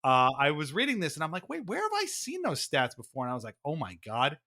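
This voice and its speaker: A man's voice: on the high side, wildly swooping pitch, fast talker, creaky, bright, forceful tone. A man co-hosting a sports podcast in a casual, wry and amused manner. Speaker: podcast co-host. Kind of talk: casual hockey fan chat with mock-dramatic readings.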